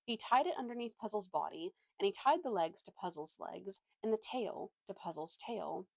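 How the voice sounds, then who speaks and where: A woman's voice: mid-range voice, lively intonation, moderate pace, gravelly, no room sound. Woman reading a storybook, very dry sound. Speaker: storybook reader. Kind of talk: expressive read-aloud narration.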